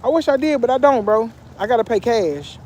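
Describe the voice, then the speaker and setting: A man's voice: very high-pitched, lively intonation, a little fast, darkish tone, a little hiss or hum. A young man from Texas chatting casually on a phone livestream by a pool, reading out comments in a loose, slangy way. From Texas, USA. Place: outdoors by a pool.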